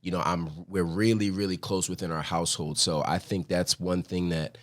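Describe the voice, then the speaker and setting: A man's voice: low-pitched, flat delivery, normal speed. An American man from Philadelphia talking casually and reflectively as a guest in a studio interview. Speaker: guest, former college athlete and mentor. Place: podcast studio.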